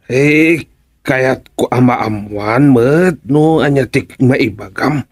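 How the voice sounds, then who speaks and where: A man with an Ilocano accent playing a scheming, hesitant village councilor in a radio comedy drama, hemming and evasive in a husky, gravelly voice. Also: mid-range voice, slow, dry recording. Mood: nosy, hesitant. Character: scheming, hesitant male village councilor angling for higher office.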